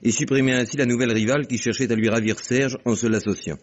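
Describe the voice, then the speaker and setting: An actor playing a shrewd, wry police commissioner in an old radio drama, measured and ironic. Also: male voice, mid-range voice, fairly even intonation, natural voice. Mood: reasoning. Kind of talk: 1950s French radio drama acting. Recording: dull old radio recording.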